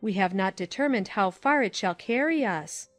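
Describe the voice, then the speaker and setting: A woman voicing a sensible, concerned middle-aged aunt in a dramatized classic novel, gently admonishing in measured, formal phrases. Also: mid-range voice, lively intonation, soft background music, lightly acted. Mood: kindly.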